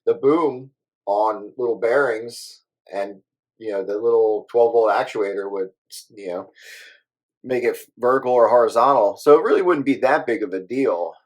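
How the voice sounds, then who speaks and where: A man's voice: medium pitch, moderate pace, darkish tone, rough recording. A man chatting casually as a hobby radio operator, rambling along in an easygoing way.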